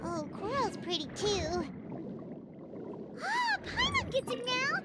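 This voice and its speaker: A woman voicing a small, childlike fairy companion in a video game, perky, fretful and exclamatory, with a squeaky voice. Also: wildly swooping pitch, slow pace, heavy background noise, exaggerated voice. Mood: excited. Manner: exclamatory, refers to self in third person. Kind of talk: animated video game voice acting. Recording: background music under the voice.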